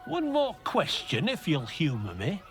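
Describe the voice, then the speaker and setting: A sinister weapon merchant who lingers over every word in a slow, sing-song voice that climbs and dips. He sounds smooth and insinuating. Game music plays clearly underneath. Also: on the high side, wildly swooping pitch, heavily acted. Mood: menacing. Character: sinister male weapon merchant probing killers.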